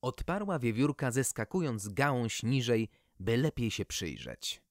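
Expressive, unhurried storybook narrator. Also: medium pitch, no echo, clean studio sound. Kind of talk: scripted children's storybook narration.

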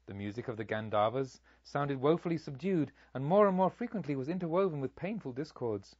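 A man's voice: medium pitch, animated, fairly quick, darkish tone, husky, no room sound. Man narrating, slightly dull recording. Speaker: audiobook reader. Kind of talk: scripted literary narration.

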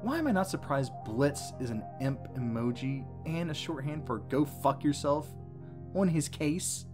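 A man's voice: fairly high pitch, expressive, measured pace, over music. A man co-hosting a scripted explanatory commentary on a cartoon. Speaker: co-host.

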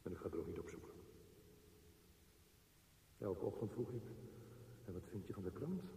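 In a long, echoing reverb, a haunted, brooding man thinking aloud in a radio play. His low voice is very dark and halting, often hushed. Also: animated, gravelly. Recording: echoey reverberant space, some background noise.

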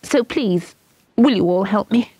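A woman's voice: fairly low pitch, lively intonation, moderate pace, husky, no echo, voice pushed up. A woman voicing a scornful, meddling little girl who turns sulky and pleading. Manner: bossy and sulky.

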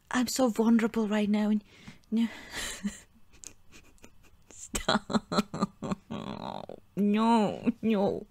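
A woman acts out a pouting, playfully whiny girlfriend in an intimate ASMR roleplay. She talks very slowly and haltingly, pleading in a giggly mock sulk. The sound is close and very dry. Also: mid-range voice, a little mellow, no echo. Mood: pouty, needy.

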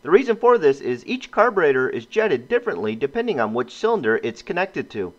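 Male DIY narrator, high voice with lively pitch swings, scripted tutorial delivery. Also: a little fast. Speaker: DIY host. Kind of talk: scripted how-to voice-over narration. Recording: muffled, band-limited voice-over with music. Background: music.

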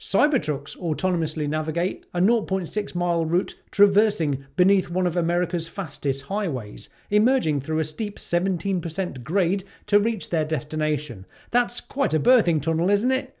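Upbeat British man, high-pitched. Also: animated, normal speed, hardly any pauses, darkish tone. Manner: enthusiastic, rhetorical questions, wry asides. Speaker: tech YouTuber. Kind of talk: scripted commentary to camera. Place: home studio with neon sign.

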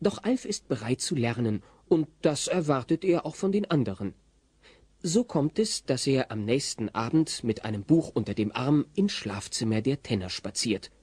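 A man narrating a German radio play as a scripted storyteller, with an expressive, wry delivery. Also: medium pitch, moderate pace, husky, dry recording.

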